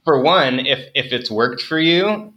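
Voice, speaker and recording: A man's voice: medium pitch, expressive, a little slow, bright, forceful tone, dull audio, slight background noise. A young man, a farmer guest on an interview, chatting casually with lots of fillers and self-deprecating jokes.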